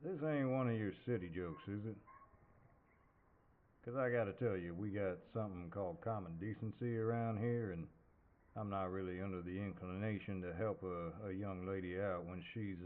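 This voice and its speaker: A man playing a polite, teasing cowboy neighbor in an audio roleplay, with a deep voice and a hesitant Southern US drawl. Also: moderate pace, phone-quality sound, natural voice. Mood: polite, awkward. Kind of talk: audio roleplay, intimate one-sided dialogue.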